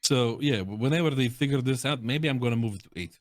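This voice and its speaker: A man talks casually as a guest creator giving his review. He explains in halting runs, pausing to hunt for words. His mid-pitched voice is close and dry. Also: no echo. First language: Russian. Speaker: guest content creator and streamer. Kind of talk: casual chat, reviewing game voice lines.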